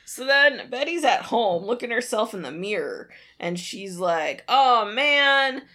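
A woman's voice: medium pitch, animated, a little slow, pushed and bright. A woman co-hosting a podcast, giving a casual comedic recap, blunt and giggly, with a theatrical storytelling streak. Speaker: podcast co-host.

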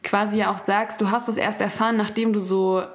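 A woman's voice: mid-range voice, flat delivery, a little fast. Woman, podcast co-host, conversational, reflective, slightly hesitant delivery.

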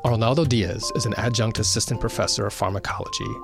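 A man reading scripted narration in a measured, even way. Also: fairly low pitch, creaky. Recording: voice-over with music behind.

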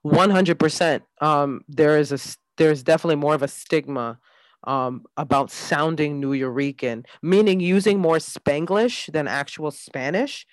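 A woman's voice: deep voice, moderate pace, no echo, poor audio. A woman co-hosting a casual podcast chat, agreeable and sometimes searching for the right word. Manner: agreeable, searching for words as she talks. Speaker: podcast co-host.

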